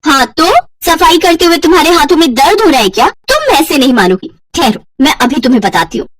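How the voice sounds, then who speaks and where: A woman's voice: sits high, sing-song, brisk, voice pushed up. A woman voicing a spoiled, spiteful young sister-in-law who scolds and gives orders with contempt. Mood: scornful.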